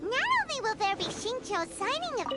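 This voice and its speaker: Squeaky, childlike little fairy sidekick. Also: female voice, normal speed. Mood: cheerful, curious. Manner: excitable, nosy, speaks of herself in third person. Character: Paimon, small childlike excitable floating fairy companion. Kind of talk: video game character acting. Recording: loud music behind the voice. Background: loud game music.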